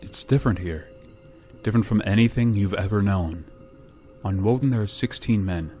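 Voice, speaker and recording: A man playing a grave, reluctant spaceship pilot in a radio drama, speaking in a solemn, halting way. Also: fairly low pitch, mellow, faint music behind, natural voice. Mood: somber, firm. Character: grave, reluctant male spaceship pilot bearing terrible news. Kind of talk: radio drama acting with narration.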